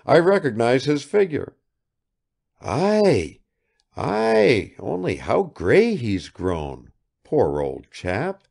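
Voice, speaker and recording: A man reading aloud and voicing a gentle young man speaking fondly and wistfully of his father. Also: medium pitch, animated, a little slow, pitched above the performer's own voice.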